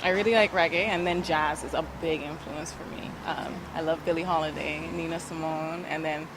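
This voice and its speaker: A young woman talks about her music in a relaxed, chatty way and laughs easily. Her voice sits on the low side. Clear background noise from an outdoor event runs under her speech. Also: fairly quick. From Grenada, raised in Barbados. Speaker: singer-songwriter guest. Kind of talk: casual interview.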